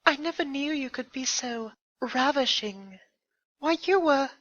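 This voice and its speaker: Guy doing a posh-acting drama queen girl unicorn, high piercing voice, drawly, fanfic reading, kinda lo-fi. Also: lively intonation, measured pace, pushed and bright, dry recording, heavily acted. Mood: cooing. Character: prim, theatrical lady unicorn, easily scandalised. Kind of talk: fan fiction character reading.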